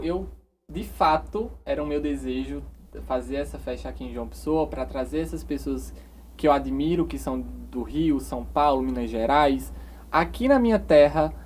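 A man's voice: on the high side, darkish tone, a little hiss or hum. A young man from Paraíba with a Northeastern Brazilian accent, a podcast guest who talks proudly and stumbles over his words with many restarts. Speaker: interview guest, page owner. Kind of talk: casual podcast interview.

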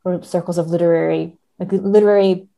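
A woman with a fairly low, gravelly-edged voice discusses books as a guest in conversation. Her tone is dark and relaxed, and she reasons aloud, qualifying what she says as she goes. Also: a little fast. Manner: hesitant, hedging, thinking aloud, seeking agreement with "right?". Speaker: podcast guest, literature academic.

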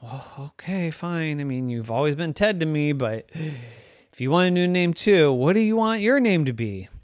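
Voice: medium pitch, animated, moderate pace, no echo, studio quality, pitched above the performer's own voice, close to an everyday voice. Someone doing a bewildered apologetic pet owner.

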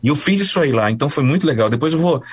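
A Brazilian man who works as a voice-over announcer, recounting his career in a loose, chatty interview. His voice is a little husky and swings up and down with lots of energy. He restarts his sentences often as the stories tumble out. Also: a little high, racing. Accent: Brazilian Portuguese. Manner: animated, chatty, many restarts. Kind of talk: casual storytelling interview.

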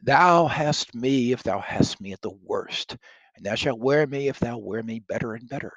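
A man's voice: medium pitch, moderate pace, no echo, dull audio, natural voice. A man reading the part of a bold young English king wooing a princess, declaiming the old verse earnestly. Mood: ardent.

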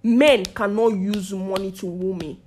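A young woman, hosting a podcast and chatting loudly with lively energy. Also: mid-range voice, measured pace. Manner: loud, hyped, animated storytelling. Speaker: podcast host. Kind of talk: casual chat.

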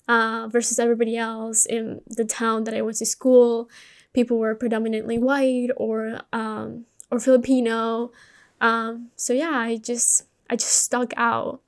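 A woman from Colombia whose first language is Spanish hosts a podcast alone. Her voice sits fairly high and stays rather even in pitch. She talks candidly and informally, as if telling her own story to a listener. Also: a little slow. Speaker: podcast host. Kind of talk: personal storytelling, conversational monologue.

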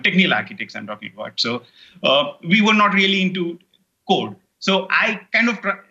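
Indian guy w/ telugu roots chatting on a call, raised pitch, super bright, kinda dull lo-fi sound, lots of likes and uhs. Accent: Indian English. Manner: rambling with many fillers and restarts. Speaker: guest, online course creator. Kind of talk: casual interview chat.

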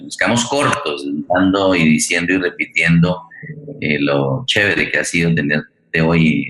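Male forum host, low-pitched, halting conversational delivery, echo-heavy room. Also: fairly bright tone. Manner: halting, repeats words as he searches. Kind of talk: live conversational hosting. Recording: echoey room sound.

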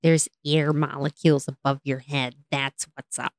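A young woman co-hosting a casual chat, chatty and laughing often, her voice a little raspy from talking all day. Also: a bass voice, little pitch movement, moderate pace, no echo, studio quality. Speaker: podcast co-host.

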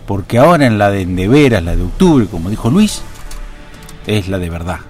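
Mock-earnest argentine radio host, man, fairly low voice, soft music behind. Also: big pitch swings, a little fast. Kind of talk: satirical radio talk.

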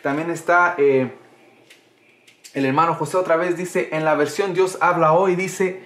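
High-voiced man teaching a Bible study. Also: fairly even intonation, unhurried, fairly bright tone. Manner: repeats phrases, punctuates with "amén" and "¿ah?". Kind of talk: casual Bible study livestream. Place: home in Canada.

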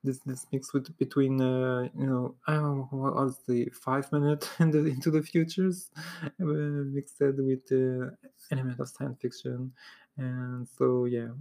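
A woman's voice: extremely low pitch, level tone, measured pace, mellow. A woman from Montreal with a Québécois French accent, a native French speaker, hosting a solo podcast in English and talking hesitantly with many fillers and restarts. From Quebec, Canada. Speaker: podcast host.

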